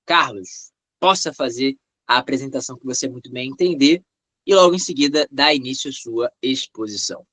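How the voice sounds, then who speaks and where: A man moderating a video call session, introducing the guest speaker in a measured, formal way. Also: mid-range voice, fairly bright tone, no echo.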